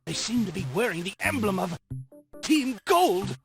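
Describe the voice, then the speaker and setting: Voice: mid-range voice, sing-song, moderate pace. An actor playing a cheerful, theatrical professor in a visual novel game, speaking in an effusive, dramatic, expository way. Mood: urgent. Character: cheerful, theatrical creature-research professor guiding a trainer. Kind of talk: scripted visual novel character acting. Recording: background music under the voice. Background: game music.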